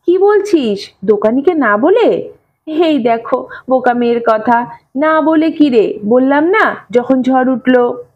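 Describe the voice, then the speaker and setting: Woman playing an evasive, blustering father, raised pitch. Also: animated, slow pace, muffled recording, heavily acted. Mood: irritated scolding. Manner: cajoling and blustering, rhetorical questions. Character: evasive, scolding father brushing off his small daughter.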